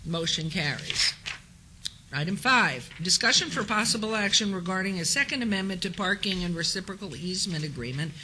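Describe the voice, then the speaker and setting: A woman with a hoarse voice from a cold, chairing a formal council meeting in city chambers and reading procedural announcements into a desk microphone. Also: on the low side, sharp tone, slight background noise, rough recording. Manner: reads a set script, then chats lightly with colleagues.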